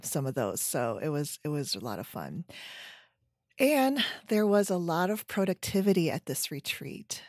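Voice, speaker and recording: A woman in her forties hosting a solo podcast chat at home, her voice scratchy from a sinus infection, with lots of ums and trailing pauses. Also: low-pitched, a little mellow, no room sound, studio quality. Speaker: podcast host. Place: home room with bookshelves. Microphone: headset.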